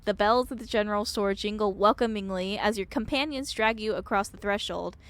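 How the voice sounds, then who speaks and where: A woman streamer reading a game aloud and reacting in an expressive, casual, sweary way. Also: mid-range voice, brisk.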